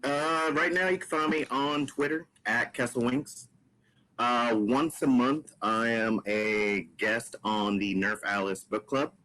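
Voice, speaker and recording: A man's voice: medium pitch, steady tone, slow pace, pushed and bright, poor audio. A man chatting as a podcast guest, laid-back with a wry sense of humor. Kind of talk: casual podcast chat.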